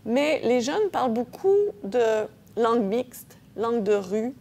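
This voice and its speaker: A woman's voice: on the high side, animated, slow pace, mellow. A woman professor giving an academic lecture, explaining patiently with frequent hesitations and checks of her audience. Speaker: linguistics professor.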